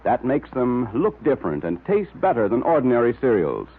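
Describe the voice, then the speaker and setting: A man's voice: medium pitch, wildly swooping pitch, moderate pace, husky. A male radio announcer in 1940s style, delivering scripted adverts and story narration with emphatic salesmanship. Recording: tinny vintage radio recording.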